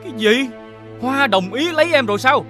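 An actor playing a greedy, boastful and suspicious rich elder brother in an animated fairy tale, with a condescending manner. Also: male voice, very high voice, normal speed, over music. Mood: angry, suspicious. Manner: condescending, expansive.

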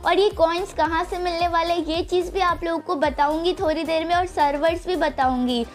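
A woman's voice: very high-pitched, steady tone, moderate pace. A young woman with an Indian accent, hosting a gaming channel and explaining a game event step by step to viewers. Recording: voice-over with music underneath. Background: background music.

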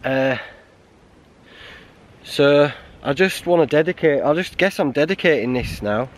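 English man, 30s, hiking vlogger; subdued, halting talk to a handheld camera outdoors, faint wind noise. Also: a little high, expressive. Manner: subdued, halting and grieving, with many restarts. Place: outdoors on a windy winter mountain, sheltering behind a stone wall. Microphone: camera held close at arm's length.

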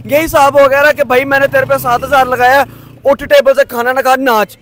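A man's voice: very high voice, flat delivery, a little fast, a bit bright, some chatter behind. A young man speaking with scorn and indignation in a night-time street interview, into a handheld mic. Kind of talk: street vox pop. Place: city street at night, outdoors.

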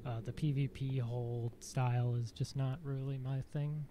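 A man streaming a game, chatting casually in a dry, monotone voice, rambling with fillers and self-corrections. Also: mid-range voice, normal speed, a little hiss or hum. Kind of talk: casual live-stream chat.

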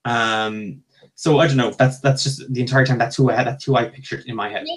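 A man's voice: mid-range voice, fairly even intonation, brisk. A man, chatting about books in a rambling, hesitant way that doubles back on itself. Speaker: book club panelist. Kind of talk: casual book discussion.

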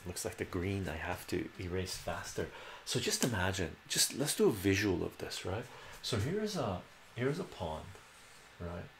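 A man's voice: low-pitched, big pitch swings, unhurried, vocal fry, a bit bright, reverberant, a little hiss or hum, rough recording. A middle-aged man from Iran giving a casual live math lesson at a whiteboard in a small home room, chatty and repeating himself, tagging his points with "right?". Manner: chatty, repeats phrases and tags "right?" often. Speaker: math streamer.

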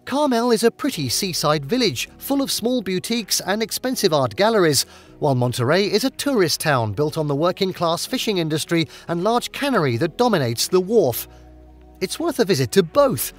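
A man giving scripted travel narration in an upbeat countdown delivery. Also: high voice.

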